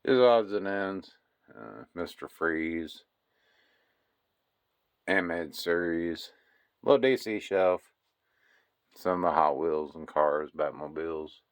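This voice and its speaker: A man giving a casual, rambling tour of his toy collection room, filling pauses with lots of uh and um while filming on a handheld phone. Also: low-pitched, animated, clean tone. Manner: unhurried, rambling, many fillers. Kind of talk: casual walkthrough narration.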